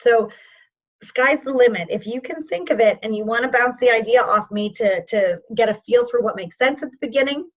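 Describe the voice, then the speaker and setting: A woman training sales representatives in an online session, informal and encouraging, with a voice that is going hoarse. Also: fairly high pitch, moderate pace, muffled recording. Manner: informal and encouraging, checks in with "okay?". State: losing her voice, hoarse.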